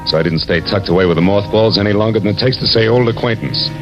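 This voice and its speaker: Actor as deep-voiced American hard-boiled detective. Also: male voice, fast talker, lightly acted. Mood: wry narrating. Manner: wisecracking, clipped, world-weary. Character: hard-boiled, wry male private detective. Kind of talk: 1940s radio noir acting, narration and dialogue. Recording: muffled vintage radio recording with music.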